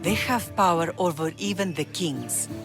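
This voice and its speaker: A woman's voice: on the low side, unhurried, music in the background. An actress playing a stern, proud middle-aged Spartan mother in a video game, firm and dignified. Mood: firm, maternal. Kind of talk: video game cutscene acting.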